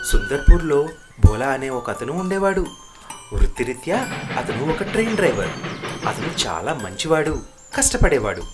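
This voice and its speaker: A woman narrates a scripted moral tale. Her voice is low for a woman, with very lively intonation. Music is clearly present behind her.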